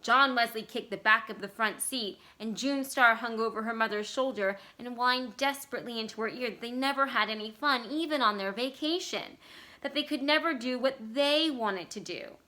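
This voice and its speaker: Bright-voiced woman reading a story. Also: fairly high pitch, moderate pace. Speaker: library staff story reader. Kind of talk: scripted literary narration, expressive reading.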